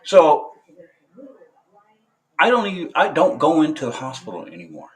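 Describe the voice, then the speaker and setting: A veteran man talking to camera in a casual monologue, halting and hesitant, full of filler sounds and restarts, blunt and profane. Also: on the high side, huge pitch swings, poor audio. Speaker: military veteran.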